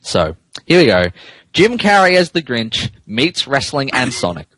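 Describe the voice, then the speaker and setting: A man hosting a podcast, switching between casual banter and comic dramatic reading in an amused, animated manner. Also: mid-range voice, unhurried, no echo, low-quality recording. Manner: animated, amused, breaks into laughter while reading. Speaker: podcast host and narrator.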